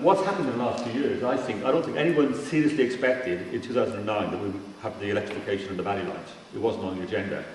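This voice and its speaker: A low-voiced man from Cardiff presents to a forum in a big, very reverberant hall, picked up from a distance. He moves along quickly, explaining his points informally but with emphasis, and sometimes restarts a phrase. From Cardiff, Wales. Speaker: keynote speaker on regional transport. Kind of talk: public presentation with slides. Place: large indoor forum venue. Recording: very echoey hall, distant mic, faint noise.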